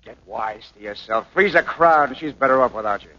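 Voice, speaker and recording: A sneering bully of a dancer. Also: male voice, mid-range voice, expressive, fairly quick, pushed and bright. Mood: taunting. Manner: tough-guy slang, taunting. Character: sneering male dance partner, bullying, jealous. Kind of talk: 1940s film acting. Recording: dull lo-fi old film soundtrack.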